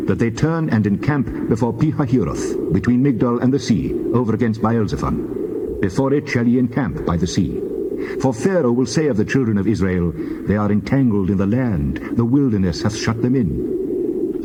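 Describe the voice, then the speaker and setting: A man's voice: fairly low pitch, lively intonation, normal speed, background noise, close to an everyday voice. A man voicing God in a dramatized scripture reading, a solemn deity giving commands in a declarative manner. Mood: solemn, commanding.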